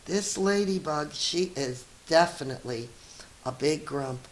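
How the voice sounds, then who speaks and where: A woman reading a children's story aloud as a teacher, expressive and encouraging, with teaching asides and questions for young listeners. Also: low-pitched, unhurried, a little hiss or hum.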